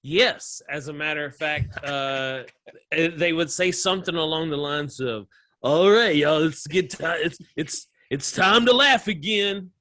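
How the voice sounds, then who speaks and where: Bright-voiced Southern comedian telling stories. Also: male voice, on the high side, unhurried, no room sound. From American South. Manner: animated storyteller, laughs often, acts out voices. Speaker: comedian guest. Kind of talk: casual interview chat.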